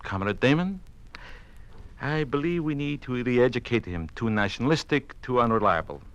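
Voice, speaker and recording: An actor playing a cold, humorless Soviet marshal in an old-time radio drama, giving orders in an imperious, formal, clipped manner. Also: male voice, mid-range voice, lively intonation, normal speed, a bit bright, smooth, dull audio, close to an everyday voice. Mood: brisk command.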